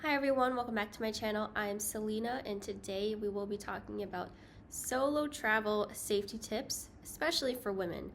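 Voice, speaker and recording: A young woman with a higher-pitched voice gives safety tips in a casual vlog, chatty and self-deprecating, with light laughs. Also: a little hiss or hum. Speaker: travel vlogger. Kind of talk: casual talking-head vlog.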